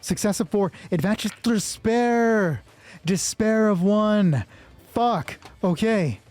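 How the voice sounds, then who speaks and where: A man improvising a solo tabletop roleplay as game master, excited, thinking aloud and swearing freely. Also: sits high, slow. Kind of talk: improvised tabletop game narration with dice talk.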